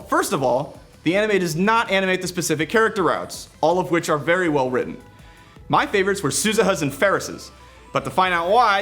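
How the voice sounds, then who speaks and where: Higher-pitched, lively male game reviewer. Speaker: video game reviewer and show presenter. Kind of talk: scripted review narration with casual asides.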